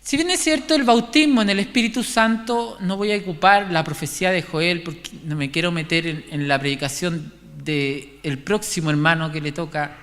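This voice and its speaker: A man with a Chilean accent giving an informal, conversational sermon, haltingly repeating and restarting his phrases. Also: a little high, a bit bright. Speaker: preacher. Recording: echoey hall, long reverb.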